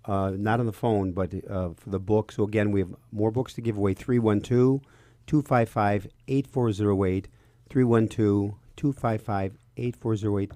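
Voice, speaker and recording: A man's voice: fairly low pitch, fairly even intonation, normal speed, mellow, no echo. A man talking live as a radio co-host in a studio, in a conversational style.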